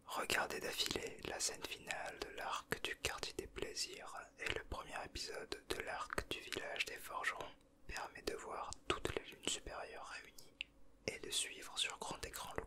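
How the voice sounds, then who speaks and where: A man whispering softly in a casual ASMR style, with a breathy, hushed voice. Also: normal speed. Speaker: ASMR video creator. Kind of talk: whispered ASMR, chatting and reading aloud from a booklet.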